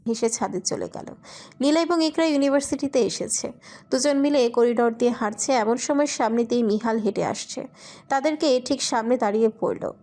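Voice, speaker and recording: A woman's voice: on the high side, moderate pace, a little mellow. A woman reading scripted romantic fiction as a narrator.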